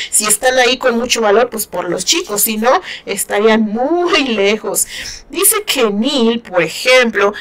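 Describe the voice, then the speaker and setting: Female book commentator, northern Mexican accent, fairly high voice, casual and playful, talking straight to listeners. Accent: northern Mexican Spanish. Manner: playful, animated retelling with asides to listeners. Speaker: book commentator, channel host. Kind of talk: casual chatty commentary on a novel.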